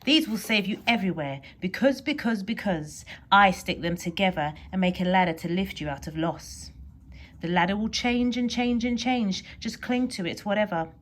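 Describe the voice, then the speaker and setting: A woman's voice: mid-range voice, normal speed, natural voice. A woman voicing a protective fairy godmother, reading a poem to children with earnest, urgent, rhythmic emphasis. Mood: earnest, urging. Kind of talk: poem read aloud to children.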